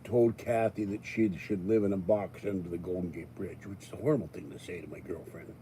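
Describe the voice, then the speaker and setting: An older American man hosting his own channel, talking in a rambling, casual way with jokey asides and frequent throat-clearing. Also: deep voice, fairly even intonation, fairly quick, warm tone, slight background noise. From Pittsburgh, United States. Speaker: YouTube channel host. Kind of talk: rambling casual monologue to viewers.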